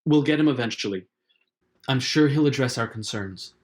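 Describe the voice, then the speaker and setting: A man's voice: mid-range voice, moderate pace. A man who co-hosts a show, bantering and reading through a script in a conversational style. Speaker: show creator and co-host.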